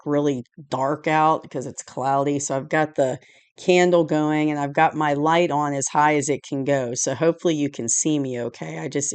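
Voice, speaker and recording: A hoarse woman recovering from a cold, giving a rambling, casual tarot reading indoors at home, full of "you know" and "like". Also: deep voice, darkish tone, dry recording. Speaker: tarot reader. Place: indoors at home, dim room lit by a candle and lamp.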